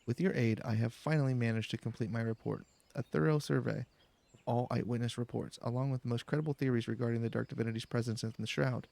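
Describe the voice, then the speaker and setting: A man reading video game dialogue aloud in a calm, slow, deliberate way for a let's play. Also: mid-range voice, vocal fry, dry recording. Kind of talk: calm, slow-paced read-aloud narration. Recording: faint background music. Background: faint game music.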